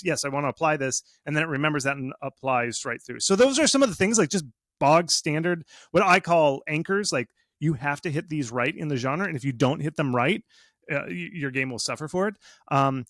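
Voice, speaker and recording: A man giving advice as a guest expert in a casual interview, speaking in an animated, informal, self-deprecating way. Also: a little high, no room sound, clean studio sound. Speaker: guest game marketing expert. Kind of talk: casual interview talk, explaining and advising.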